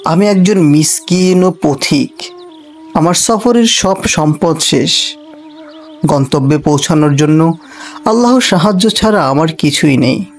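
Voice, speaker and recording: A man playing a cartoon royal guard disguised as a poor traveller, pleading and preaching in an earnest, deliberate way. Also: a little high, expressive, faint music behind. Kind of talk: Bengali cartoon character acting.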